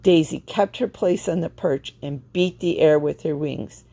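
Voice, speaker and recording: A woman reading a children's storybook aloud with expressive, deliberate phrasing. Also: low voice, vocal fry. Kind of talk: read-aloud storybook narration.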